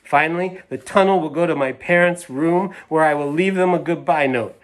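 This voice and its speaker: A woman's voice: deep voice, moderate pace, voice pushed up, close to an everyday voice. Storybook role: sulky drama-queen little girl, voiced by a man, haughty and emphatic, every grievance precisely stated. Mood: defiant. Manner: pompous, emphatic, over-precise declarations.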